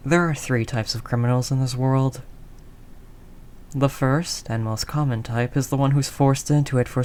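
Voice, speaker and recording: A man's voice: medium pitch, darkish tone, clean tone, lightly acted. Man acting as a doting streetwise mafia boss. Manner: streetwise slangy talk with pet names, teasing. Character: doting, world-weary young mafia boss, tough but tender. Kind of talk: intimate scripted roleplay audio drama monologue.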